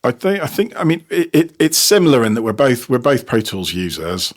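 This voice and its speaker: A man's voice: mid-range voice, big pitch swings, a little fast. A man being interviewed as a sound designer, talking casually about his work and often repeating words as he searches for phrasing. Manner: hesitant, repeats words while explaining. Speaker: guest, sound designer and technical producer. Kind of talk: casual interview talk about audio production.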